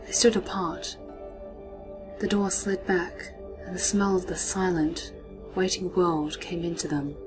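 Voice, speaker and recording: A woman reads a short story in a low, hushed voice, often sinking to a near whisper. Her pacing is dramatic and unhurried. Soft music plays faintly behind her. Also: fairly even intonation.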